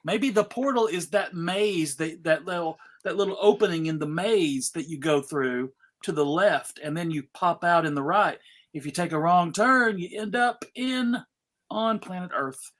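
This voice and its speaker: A woman's voice: lowish voice, normal speed. A woman in her fifties chatting and joking casually as a panelist. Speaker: convention panelist.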